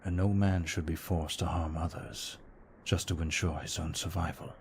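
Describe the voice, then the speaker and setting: A man playing a gentle, remorseful vampire in an ASMR roleplay, speaking slowly and formally in a soft, breathy near-whisper. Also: sits low, flat delivery, a little hiss or hum. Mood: haunted, sorrowful. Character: brooding remorseful male vampire, gentle and protective. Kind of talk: ASMR roleplay, scripted monologue.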